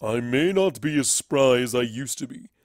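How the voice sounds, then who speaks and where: A man's voice: mid-range voice, expressive, moderate pace, dry recording, clean studio sound, lightly acted. A man voicing a spry, jovial old unicorn wizard with a grandiose way of talking. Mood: mischievous, matter-of-fact.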